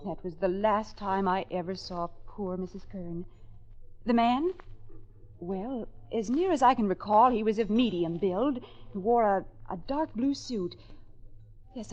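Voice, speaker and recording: A woman's voice: mid-range voice, close to an everyday voice. An actress playing a chatty, helpful female shopkeeper who recalls things in a rambling, hesitant way for a radio drama. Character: chatty, helpful drugstore manager, female witness. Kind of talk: 1950s radio acting. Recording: dull old radio recording.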